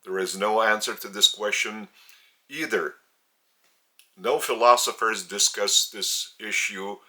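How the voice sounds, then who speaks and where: A man with a Lithuanian accent, a native Lithuanian speaker, giving a spiritual teaching from home in a slow, deliberate, earnest way with many pauses. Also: on the low side, fairly even intonation, pushed and bright. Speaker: religious teacher. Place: indoors at home.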